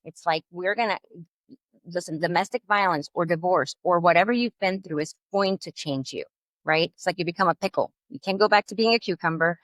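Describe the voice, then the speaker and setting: Lively woman from Puerto Rico. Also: fairly low pitch, fairly quick, no room sound, rough recording. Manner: animated, explains with vivid metaphors. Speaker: guest, family law attorney. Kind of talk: conversational interview.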